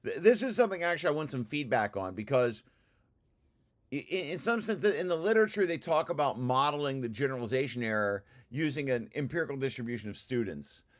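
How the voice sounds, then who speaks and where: A man giving an informal technical walkthrough as a presenter, with halting, hesitant explanations and repeated words. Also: mid-range voice, animated. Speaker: presenter reviewing own technical paper.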